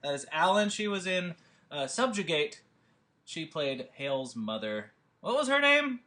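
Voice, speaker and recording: Man, slightly high voice, hesitant, lots of ums, casual host on a video call. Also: sing-song, unhurried, pushed and bright. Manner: hesitant, many fillers and restarts. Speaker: producer and director hosting a table read. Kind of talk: casual rambling chat to camera.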